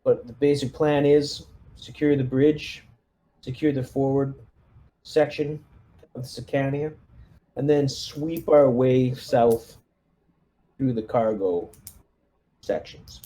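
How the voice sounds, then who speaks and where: A man playing a space marine squad commander in a tabletop roleplaying game, giving orders in a measured, hesitant, businesslike way. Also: mid-range voice, warm tone, close to an everyday voice. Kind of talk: in-character tabletop roleplay briefing.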